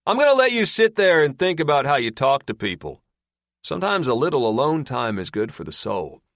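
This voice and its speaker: A man's voice: medium pitch, sing-song, fairly quick, a bit bright, no room sound, studio quality, voice pushed up. A man voicing a smirking male backwoods captor who taunts in a folksy, rambling way. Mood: mocking.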